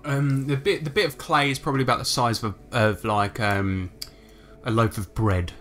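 A man's voice: mid-range voice, steady tone, normal speed, fairly bright tone. A man narrating a tabletop game as game master, improvising descriptions in a hesitant, descriptive way.

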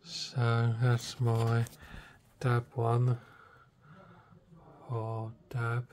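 A man giving casual, halting, muttered hands-on commentary about gadgets. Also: mid-range voice, completely flat delivery, darkish tone. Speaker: radio hobbyist reviewer. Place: indoors in a house. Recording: clearly noisy handheld home recording.

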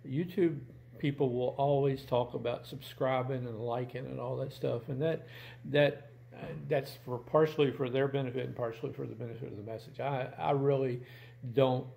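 A man in his sixties chats casually to camera from a room at home. His voice is mellow and creaky, and he feels his way through sentences in a halting, plain-spoken manner. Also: medium pitch. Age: 60s. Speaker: video channel host.

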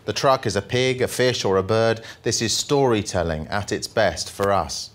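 A middle-aged man with a fairly low voice speaks into a handheld mic in a presentation room. He delivers verse and asides to his listeners with deliberate, mock-solemn phrasing. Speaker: journalist reading poems at a workshop. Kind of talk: live poetry reading with casual asides to an audience. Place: indoor presentation room with projection screen. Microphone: handheld microphone.

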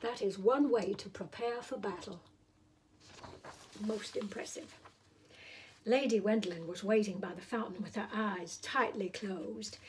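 A middle-aged woman reading a children's story aloud in a deliberate, theatrical, playful way. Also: mid-range voice, slight background noise, low-quality recording. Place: small room indoors.

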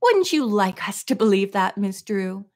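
A sneering male villain from a storybook, acted out by a woman. He taunts with open contempt, posing as a servant while he gloats, and his voice has plenty of creak. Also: mid-range voice, expressive, moderate pace. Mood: taunting.

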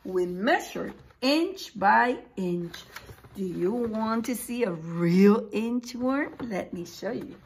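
A woman in her forties, a preschool teacher reading a picture book aloud, exclaiming and putting questions to young listeners in an animated, expressive way. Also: medium pitch, slow, slight background noise. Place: small indoor room with posters.

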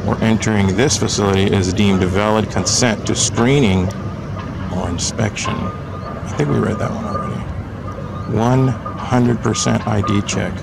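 A man's voice: on the low side, measured pace, gravelly. An American man giving a casual, wry, rambling running commentary with joking asides as he films outdoors on his camera. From Texas, United States. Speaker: video blogger filming a public-space audit. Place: outdoors on a public sidewalk by a road. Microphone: handheld camera microphone, operator behind camera. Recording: outdoor handheld camera with street noise. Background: passing vehicles and outdoor ambience.

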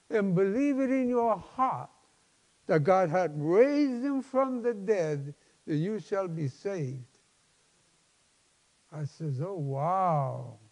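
A man in his seventies, a pastor preaching a personal testimony in an emphatic, halting, folksy way. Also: sits high. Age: 70s. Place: large church sanctuary, at a lectern. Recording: long hall reverb.

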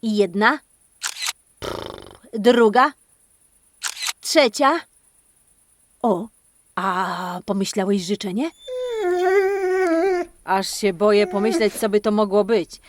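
A woman hosting a children's podcast, telling a playful interactive story in an animated, exclamatory way, speaking straight to kids and a dog. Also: mid-range voice, slow pace, pauses often, slight background noise. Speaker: host of a children's speech-exercise podcast.

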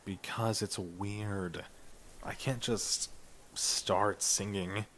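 Man acting a quiet, deep-voiced young goth. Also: fairly even intonation, extremely slow, a little hiss or hum. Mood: shy, reluctant. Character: quiet, reluctant young male goth band singer. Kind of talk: ASMR roleplay, casual chat.

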